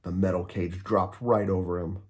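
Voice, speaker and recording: A man narrating a children's storybook aloud, expressively and with deliberate, dramatic emphasis. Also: low voice, flat delivery, a little mellow.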